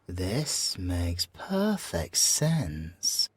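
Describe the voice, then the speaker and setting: A man's voice: low voice, huge pitch swings, slightly rough, no room sound, heavily acted. A man with a British accent playing a suave, flirtatious former special forces soldier in an ASMR audio roleplay, teasing and theatrical, drawing out his words and slipping into whispers. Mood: playful, intrigued. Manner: teasing, drawn-out, theatrical delivery with whispered asides. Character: suave, flirtatious, possessive male ex-special-forces bomb expert.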